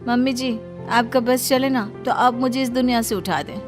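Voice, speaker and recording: Cheeky cartoon bride, played by a woman: high voice, stubborn, sugary back-talk, music bed. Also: steady tone, moderate pace, close to an everyday voice. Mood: defiant. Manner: pert, mock-sweet back-talk. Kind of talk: cartoon family drama acting. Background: background music.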